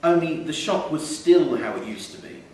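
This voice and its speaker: A man's voice: a little high, animated, normal speed, a little mellow. Very echoey man reading a short story. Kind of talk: live literary story reading. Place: theatre venue, indoors. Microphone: distant from speaker. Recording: very echoey, distant mic, faint noise.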